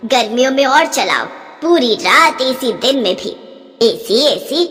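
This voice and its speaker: A woman's voice: sits high, expressive, measured pace, a bit bright, big-room sound. A woman playing a stingy, scheming older mother-in-law who scolds and bosses her family. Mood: grumbling. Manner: scolding, penny-pinching, bossy.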